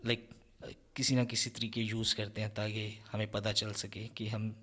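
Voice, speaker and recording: Young man, coding tutor, slow deliberate pace, keeps checking in, keyboard typing behind. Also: on the low side, completely flat delivery, a bit bright. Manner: explains step by step, checks in with "ठीक है" often. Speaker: programming tutor. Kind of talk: screen-recorded coding lesson.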